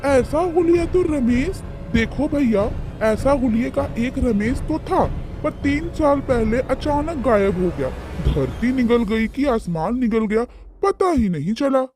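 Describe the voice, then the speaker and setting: A man's voice: very high voice, animated, unhurried. A man voicing a gossipy village neighbour who confides and advises in a children's cartoon story. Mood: confiding, cautioning. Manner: chatty, confiding. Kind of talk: animated children's moral story dialogue. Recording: music behind the voice.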